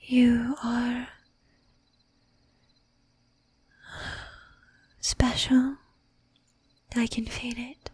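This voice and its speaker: Woman playing a breathy, whispering, courtly female vampire. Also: fairly high pitch, completely flat delivery, a little mellow, dry recording, full character voice. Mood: earnest, possessive. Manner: formal, archaic diction, slow and deliberate. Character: flirtatious, bossy, possessive female vampire, courtly and formal. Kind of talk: ASMR roleplay. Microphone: close microphone, dry.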